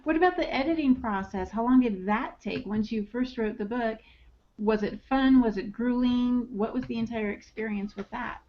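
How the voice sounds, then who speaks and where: A woman's voice: on the high side, moderate pace. A woman moderating a podcast, putting questions to authors in a conversational style. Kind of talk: conversational interviewing. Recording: muffled lo-fi audio with noise.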